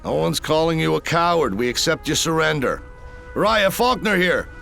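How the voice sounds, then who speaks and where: A man's voice: fairly high pitch, lively intonation, normal speed, vocal fry, bright, forceful tone, full character voice. A man playing a wry, confident army major in a sci-fi game, speaking in a dry, informal way. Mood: brisk, businesslike. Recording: music behind the voice.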